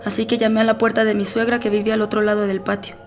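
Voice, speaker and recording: An actress playing a worried, suspicious young wife, giving testimony in an audio drama. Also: female voice, medium pitch, flat delivery, racing, faint music behind, natural voice.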